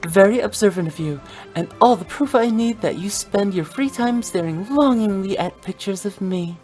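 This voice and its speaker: Actress as a playful, teasing young funeral director; lively melodic delivery, soft music under. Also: female voice, mid-range voice, moderate pace, close to an everyday voice. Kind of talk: video game dialogue. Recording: game audio with faint music.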